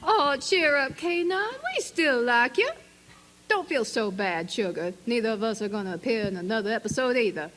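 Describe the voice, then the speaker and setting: A woman's voice: on the high side, animated, normal speed, fairly bright tone. A woman voicing a bubbly, soothing cartoon sidekick with sweet, coaxing delivery. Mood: coaxing, cheery. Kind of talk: cartoon voice acting. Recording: noisy event hall playback.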